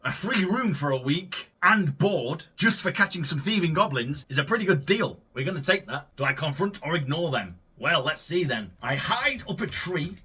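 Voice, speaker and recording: A man hosting a gameplay video, commenting theatrically with shouted bursts and sarcastic asides. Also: fairly high pitch, animated, moderate pace, hardly any pauses, husky. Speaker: gaming video host.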